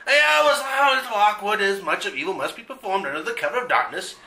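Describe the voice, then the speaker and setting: A man's voice: high voice, expressive, fast talker, bright, forceful tone. A man playing a pompous, gleefully evil, scheming villain in a parody of a western radio serial. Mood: indignant, pompous. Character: vile scheming melodramatic mustache-twirling male western villain. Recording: roomy echo.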